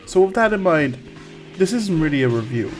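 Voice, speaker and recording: A man's voice: a little high, big pitch swings, moderate pace. Wry male game reviewer over music. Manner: wry, tongue-in-cheek. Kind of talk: scripted review narration.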